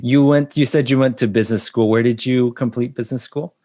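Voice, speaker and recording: A man's voice: medium pitch, fairly even intonation, a little fast, dark tone. A man hosting an alumni podcast, interviewing a guest in casual chat over a video call and laughing easily. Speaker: podcast host.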